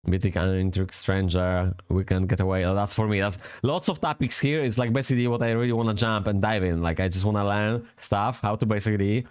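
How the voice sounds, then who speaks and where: A man's voice: sits low, darkish tone, smooth, dry recording, clean studio sound. A man from Poland with a Polish accent, rambling casually through an app review, swearing often and correcting himself as he goes. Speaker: vlogger reviewing a language app.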